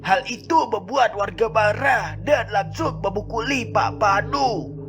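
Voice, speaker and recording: A man's voice: sits high, a little slow, a bit bright, soft background music. A man voicing a wise village elder who explains and tells a legend in a scripted cartoon. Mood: solemn storytelling. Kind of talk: scripted cartoon narration and dialogue.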